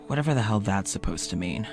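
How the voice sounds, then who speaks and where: A man's voice: fairly low pitch, animated, brisk, over music. An actor playing a lonely, anxious man who talks to his audio journal late at night, hesitant and stammering, sighing often, full of self-doubt. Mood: weary. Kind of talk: audio drama monologue.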